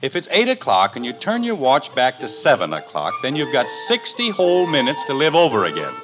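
Harried American suburban father, middle-aged, sarcastic, animated pitch, old dull radio sound. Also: fairly quick, music in the background. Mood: dryly exasperated. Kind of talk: 1950s radio sitcom acting. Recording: muffled, noisy old radio recording.

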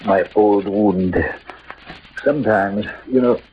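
Gallant ailing poet, low theatrical voice, halting lyrical delivery, vintage radio drama, muffled recording. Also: male voice, a little hiss or hum. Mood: faint, dismissive. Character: ailing, gallant middle-aged romantic swordsman-poet hiding his love. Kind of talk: 1940s-50s radio drama acting.

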